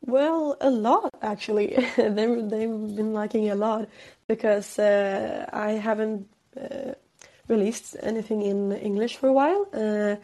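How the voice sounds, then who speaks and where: A woman's voice: medium pitch, fairly even intonation, a little slow, darkish tone. A tired young woman from Sweden with a Swedish accent, chatting as a pop singer guest over a call-in line, hesitant, with many fillers and frequent laughs. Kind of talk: casual call-in interview. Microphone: phone call-in.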